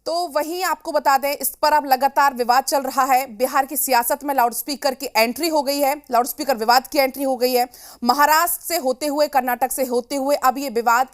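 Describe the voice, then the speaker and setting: A woman's voice: high voice, fairly even intonation, moderate pace. A woman news anchor presenting live television news in an emphatic, repetitive way.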